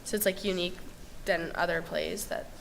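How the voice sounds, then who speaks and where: A woman's voice: on the low side, steady tone, fairly quick, creaky, very noisy. A young female cast member giving a casual explanation in an interview. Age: child or teenager. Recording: reverberant hall.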